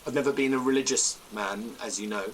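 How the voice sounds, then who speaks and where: An actor playing a fearful, haunted gentleman, telling his ghostly tale in formal, grave gothic prose. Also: mid-range voice, a little fast, a little hiss or hum, close to an everyday voice, rough recording. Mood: solemn, searching. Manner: formal, literary, grave phrasing. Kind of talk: dramatic first-person gothic storytelling.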